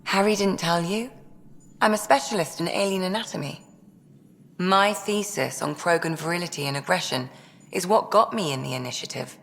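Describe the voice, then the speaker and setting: A woman's voice: fairly low pitch, normal speed, fairly bright tone. A keen alien medic in a sci-fi game. She sounds a little nervous and hesitant, yet full of scientific enthusiasm. Her voice carries a long reverb. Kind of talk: video game dialogue acting.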